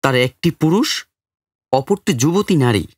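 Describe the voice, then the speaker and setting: A man's voice: on the high side, lively intonation, unhurried, no room sound, studio quality. An actor playing a curious, gossiping village man in audio drama dialogue.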